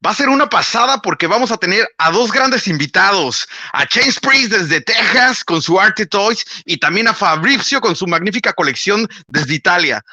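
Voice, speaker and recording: A man's voice: sits high, sharp tone, no echo. A man from Mexico hosting an online interview, upbeat and full of exclamations. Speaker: host. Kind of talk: animated interview host.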